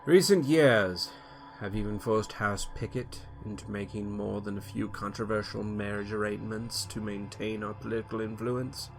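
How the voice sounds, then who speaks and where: A man reading a pompous aristocratic monologue in character, with dramatic pauses. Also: on the low side, vocal fry. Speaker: podcast host and player. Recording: music bed behind.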